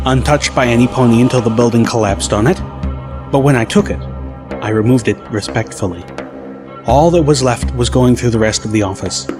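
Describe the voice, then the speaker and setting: A man reading scripted first-person story narration as an audiobook narrator. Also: medium pitch. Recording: loud music bed under narration. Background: music.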